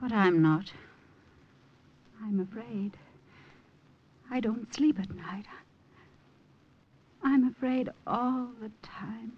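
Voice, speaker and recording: Frightened yet brave partisan woman, high and husky, fervent vintage radio melodrama, dull recording. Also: drawn-out words, pauses often, exaggerated voice. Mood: anxious. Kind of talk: 1950s radio drama acting. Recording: muffled vintage radio broadcast.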